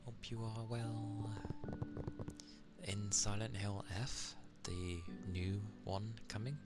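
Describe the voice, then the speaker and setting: A man from England with an English accent, chatting casually on an ASMR stream, soft-spoken and at times drifting into whispers. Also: fairly low pitch, fairly even intonation, takes it slowly, loud music behind the voice.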